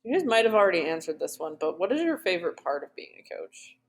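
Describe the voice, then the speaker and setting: A woman's voice: on the low side, very animated, fast talker. A woman interviewer chatting casually with a joking, self-deprecating manner. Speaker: interviewer and fitness coach. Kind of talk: casual chat.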